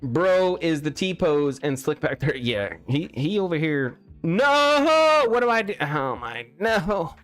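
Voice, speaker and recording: A man's voice: fairly high pitch, very animated, slow pace, hardly any pauses, a bit bright, faint music behind. A young man chatting casually on a live stream while building a game, exclaiming at mistakes and restarting his sentences, speaking into a studio mic. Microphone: studio mic with pop filter.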